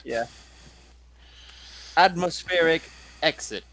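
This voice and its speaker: A man's voice: a little high, slow pace, noisy background. A man playing a tabletop role-playing game, chatting casually as a player.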